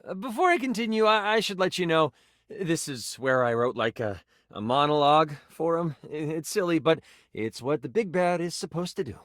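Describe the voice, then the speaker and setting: An actor playing a nerdy, eager and bashful game master in a video game, with an expressive, theatrical, self-conscious way of talking. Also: male voice, on the high side, moderate pace, no echo, studio quality. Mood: bashful, flustered. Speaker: tabletop game master character. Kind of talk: animated storytelling in a dating video game.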